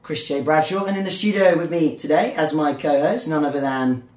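A man hosting a podcast in a casual, joking way, his voice croaky and hoarse. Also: on the high side, a little fast, darkish tone, roomy sound. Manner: chatty, joking, with filler words. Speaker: podcast host.

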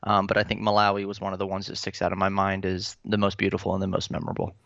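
A man's voice: low-pitched, racing, vocal fry, no echo, highs cut off. A man in his thirties from Florida, a civil servant chatting as a radio guest, easygoing and full of fillers. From Florida, United States. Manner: relaxed, hesitant with many fillers. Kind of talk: casual radio interview.

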